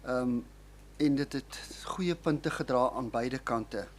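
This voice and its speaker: A man with an Afrikaans accent, a councillor, addressing the chamber in a measured, polite way. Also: medium pitch.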